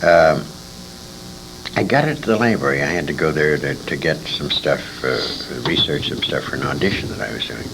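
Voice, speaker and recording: A man's voice: very deep voice, lively intonation, normal speed, vocal fry. An older man, a former radio actor, reminiscing as an interview guest in a rambling, self-correcting way on a noisy amateur recording.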